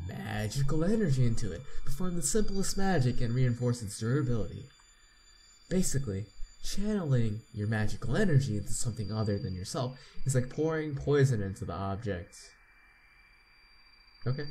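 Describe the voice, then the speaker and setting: A man's voice: medium pitch, dark tone, slight background noise, low-quality recording. A man hosting a game playthrough, reading the text aloud with asides and often stumbling and repeating words. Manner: halting reader, repeats misread words. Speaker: playthrough host.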